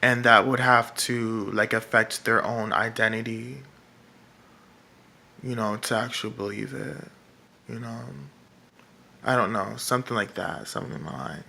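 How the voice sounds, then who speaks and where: A man with a feminine-sounding voice speaks slowly and haltingly, with a gravelly edge and a bright, tense tone. He rambles through his thoughts, stopping and restarting often. It sounds like a casual monologue for a vlog. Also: fairly low pitch. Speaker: political vlogger.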